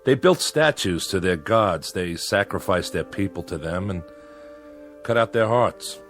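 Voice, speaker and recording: A man's voice: low voice, expressive, a little slow, a bit bright, full character voice. An actor in a radio drama playing a weary, principled plant manager, dry and reproachful as he stands up for workers. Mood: dry, skeptical. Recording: radio drama with music underneath.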